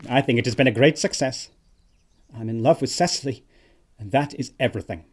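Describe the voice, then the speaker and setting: A woman plays a flippant young gentleman in love in a classic comedy audio drama. The voice is extremely low for a woman, with a dark, relaxed tone. The manner is suave and theatrical. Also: brisk. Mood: flippant, self-satisfied.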